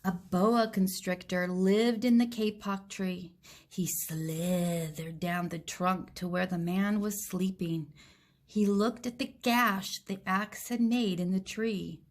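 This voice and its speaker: Slow-paced woman reading a picture book. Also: medium pitch, steady tone. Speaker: children's story reader. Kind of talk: picture-book read-aloud with asides.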